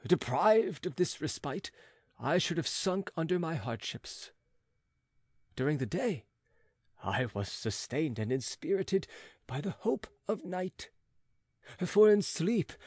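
A man narrating a gothic audiobook in a theatrical manner, with emphatic swings and pauses. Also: high voice, very animated, darkish tone, breathy edge, no room sound, studio quality.

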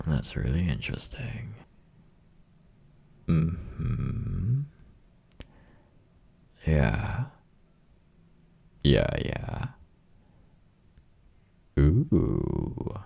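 A man acting as a young, clingy boyfriend in an ASMR roleplay, with an extremely low, gravelly voice. He talks slowly and softly, dorky and teasing, doting on his girlfriend in a dark, mellow tone. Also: exaggerated voice. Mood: attentive, affectionate. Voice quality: raspy, gravelly. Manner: soft, breathy, teasing, slow and drawn out. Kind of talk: ASMR roleplay, intimate one-sided conversation.

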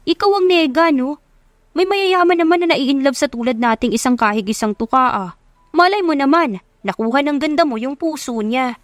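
A woman narrator plays a giddy young Filipina best friend in an audio love story. She pesters and teases in slangy exclamations, her voice pitched high and bright with excitement. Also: moderate pace, no room sound. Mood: teasing.

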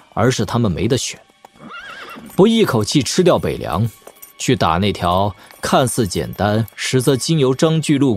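An actor playing a shrewd, sardonic young lord in a martial-arts audio drama, expounding wryly at length. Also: male voice, medium pitch, breathy edge. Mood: confident, explaining. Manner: wry, expounding at length, with snorts and sighs. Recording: music behind. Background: background music.

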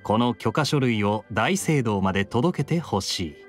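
A man's voice: mid-range voice, animated, measured pace, music in the background, natural voice. A man voicing a suave young knight captain in an anime game, teasing and nonchalant. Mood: businesslike. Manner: smooth-talking, nonchalant, wry.

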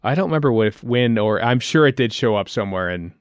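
A man's voice: mid-range voice, expressive, fast, clean tone, no echo, studio quality. A man with a Canadian accent co-hosting a podcast in casual, chatty commentary. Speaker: podcast co-host. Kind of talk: casual fan commentary chat.

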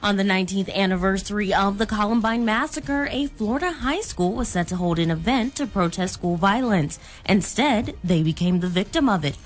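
Woman, American accent, radio news anchor, scripted news reading, mid-range pitch. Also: expressive, moderate pace, hardly any pauses.

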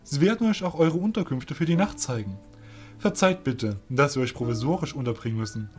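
A man's voice: mid-range voice, lively intonation, brisk, soft background music, natural voice. A man voicing a courteous but commanding fairy general, speaking formally and with authority.

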